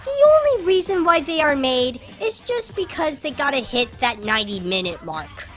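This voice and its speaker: An artificially pitch-shifted voice of a film reviewer giving opinionated scripted commentary with exasperated emphasis on key words. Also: big pitch swings, moderate pace, fairly bright tone, faint music behind.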